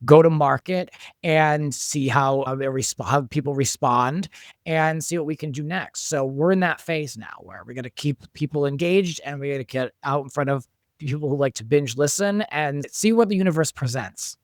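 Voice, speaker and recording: A man's voice: on the high side, normal speed, no echo. A man who creates audio drama, talking casually as a guest in an interview.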